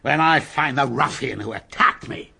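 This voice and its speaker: An actor playing a stern, grim ship's captain in a radio drama, gruff and curt. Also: male voice, medium pitch, normal speed, sharp tone, full character voice.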